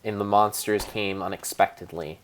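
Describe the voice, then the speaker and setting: A man narrating his own gameplay as a solemn, theatrical dramatic reading, with pauses and small slips. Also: on the low side, fairly even intonation, slight background noise, rough recording. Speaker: game streamer narrating play. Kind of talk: mock-dramatic storybook narration over gameplay.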